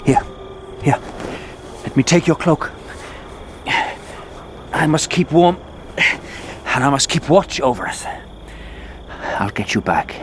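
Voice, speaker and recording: An actor playing a frightened young crusader in a radio drama, muttering haltingly in a hushed voice. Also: male voice, on the high side, lively intonation, noisy background. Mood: tense, protective. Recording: studio radio drama under loud music.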